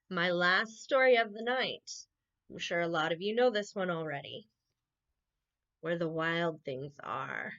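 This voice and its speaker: A woman reading children's bedtime stories aloud in an expressive storytelling manner, with exclamations and asides. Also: mid-range voice, highs cut off. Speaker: camp unit head reading bedtime stories. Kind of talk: animated picture-book read-aloud for children.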